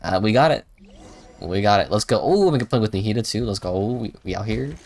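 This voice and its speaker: A man's voice: lowish voice, lively intonation, fairly quick, darkish tone, a little hiss or hum. A man live streaming a video game with casual, slangy commentary, often muttering and sounding unsure of himself. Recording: music behind the voice. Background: game music.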